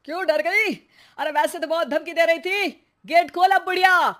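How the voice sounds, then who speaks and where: A cartoon robber with a high voice, acted by a woman. He is a rough-talking, taunting crook who bullies his victims in a children's story. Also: moderate pace, fairly bright tone. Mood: threatening. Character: menacing, mocking male armed burglar, easily reduced to whimpering.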